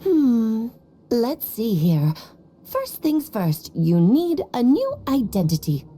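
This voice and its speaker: A woman's voice: on the high side, wildly swooping pitch, slow, dark tone, a little hiss or hum, heavily acted. A woman voicing a sly, worldly mentor with playful, theatrical phrasing and cryptic advice in an anime-style game. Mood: teasing, knowing.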